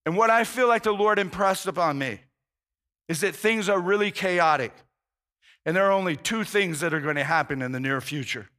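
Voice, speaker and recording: A man's voice: sits high, normal speed, bright, forceful tone. A male pastor preaching a conversational sermon, earnest and informal, often restarting phrases and saying "man".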